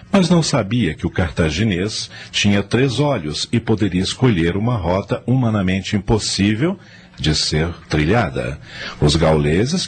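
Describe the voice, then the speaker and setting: A man narrating a radio drama from a script in a storytelling role. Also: low-pitched. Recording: music under the voice.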